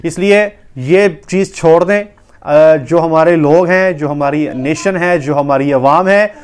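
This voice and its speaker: Earnest man with smooth voice. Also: on the high side, slow. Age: 30s. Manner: earnest, explaining with lots of hand gestures. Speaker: veterinary doctor and YouTuber. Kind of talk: casual talk to camera. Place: small indoor room with wood-panelled wall. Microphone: lapel mic.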